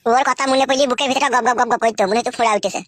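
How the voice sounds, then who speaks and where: A man's voice: very high-pitched, fairly even intonation, very fast, noisy background. A man voicing a cheeky, hot-headed young village husband in a comic cartoon, whining and arguing back. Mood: sheepish, cheeky. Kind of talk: comic cartoon dialogue in colloquial rural Bengali.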